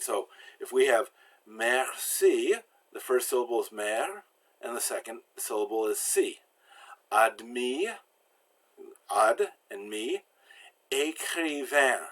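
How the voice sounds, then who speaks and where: An older American man gives a relaxed, self-deprecating slide lesson from a home study, talking into a webcam. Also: medium pitch. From United States. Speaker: teacher.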